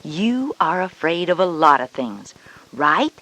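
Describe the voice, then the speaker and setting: An actress plays a grown woman, a comforting mother in a children's educational tape. The delivery is calm and gentle, reasoning step by step in a reassuring way. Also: fairly low pitch, unhurried, full character voice. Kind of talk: scripted educational audio drama.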